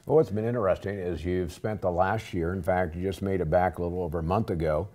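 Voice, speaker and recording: A man's voice: low-pitched, steady tone, quick pace, warm tone. An older American man hosting a local TV interview in a studio. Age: older, around 60s. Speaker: TV program host. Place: small TV studio, indoors. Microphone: lapel mic.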